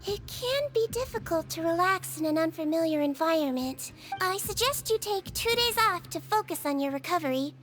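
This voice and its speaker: A woman voicing a gentle, earnest young head nurse in a video game, speaking with polite, professional consideration over background music. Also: extremely high pitch, lively intonation, moderate pace, hardly any pauses. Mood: calm, reassuring.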